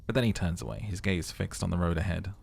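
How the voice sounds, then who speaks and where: Male playthrough narrator: low, gravelly voice, storytelling and offhand commentary, faint music. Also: quick pace, slight background noise. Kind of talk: dramatic narration and casual commentary.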